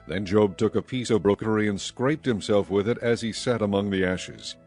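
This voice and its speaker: Low-voiced man narrating scripture over music. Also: normal speed, a little mellow. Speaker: narrator of a dramatized audio Bible.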